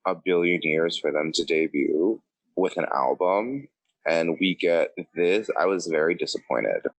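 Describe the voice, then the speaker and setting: A man's voice: low voice, unhurried, no echo, low-quality recording. A man co-hosting a podcast, chatting casually with blunt, shady humour. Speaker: podcast co-host. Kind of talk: casual opinionated podcast chat.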